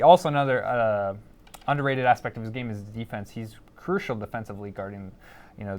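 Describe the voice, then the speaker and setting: A man giving opinions as a panelist in a casual sports discussion, often repeating words as he goes. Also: fairly low pitch.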